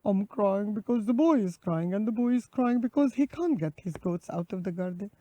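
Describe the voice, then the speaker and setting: A woman's voice: mid-range voice, animated, moderate pace, mellow, muffled recording, close to an everyday voice. A woman voicing a sad, plaintive little rabbit in a children's story. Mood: tearful.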